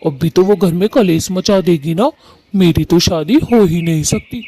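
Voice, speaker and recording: A man's voice: sits high, measured pace, warm tone. Man voicing a harsh grumpy husband. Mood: whining. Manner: harsh, complaining. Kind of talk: Hindi cartoon voice acting.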